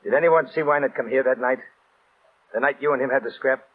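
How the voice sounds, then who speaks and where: Gruff little crook played by a guy, tough slang, husky edge, tinny old radio sound. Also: mid-range voice, fast talker, natural voice. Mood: wary, tough. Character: tough small-time male crook, jumpy and streetwise. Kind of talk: 1930s-style radio drama acting.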